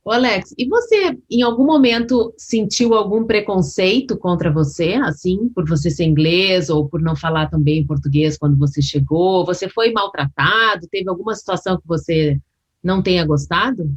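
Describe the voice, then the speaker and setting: A woman's voice: lowish voice, normal speed, lo-fi sound. A woman interviewing a guest in a casual chat, asking questions and giving short affirming replies.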